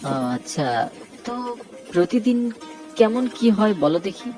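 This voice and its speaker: Man voicing a chatty, swooping-pitched rickshaw passenger. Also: slow, full character voice. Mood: friendly, curious. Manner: inquisitive, casual, expressive. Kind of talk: cartoon story dialogue. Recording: prominent music bed under voice.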